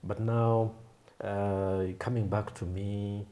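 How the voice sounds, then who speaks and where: A man in his thirties from Kenya, a Swahili native speaker, recalling his past in an unhurried, thoughtful way, with frequent pauses. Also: lowish voice, flat delivery, dark tone. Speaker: guest, film and creative artist. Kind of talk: reflective interview storytelling.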